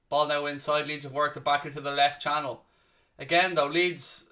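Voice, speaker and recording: A young Irishman with a Dublin accent and a bright, forward voice hosts a live football watchalong. He talks casually and bluntly, breaking off to call the action and answer viewers, swearing now and then. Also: fairly high pitch. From Ireland. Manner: chatty, blunt, answers viewer questions between play-by-play calls, occasional swearing. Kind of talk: casual live match commentary and chat with viewers.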